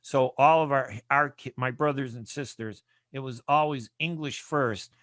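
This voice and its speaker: Male radio host from the US, bright, forceful tone, dry close sound. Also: mid-range voice, steady tone, moderate pace, dry recording. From United States. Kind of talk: conversational radio interview.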